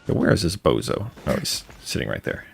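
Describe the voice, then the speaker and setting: A male streamer commenting casually on his game. His voice is low and full of fry, yet lively in pitch, and he mutters wryly to himself. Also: a little fast, soft background music. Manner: wry, muttering to self. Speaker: live streamer.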